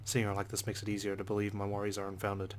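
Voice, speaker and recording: A man's voice: on the low side, completely flat delivery, fast talker, slight background noise. A man reading visual novel narration aloud as a let's player.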